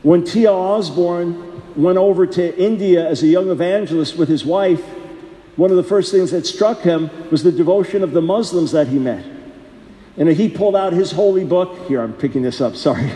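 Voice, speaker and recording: A man's voice: sits high, moderate pace, warm tone. A man preaching a sermon in an earnest, conversational way, often repeating himself for emphasis. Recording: echoey hall, long reverb.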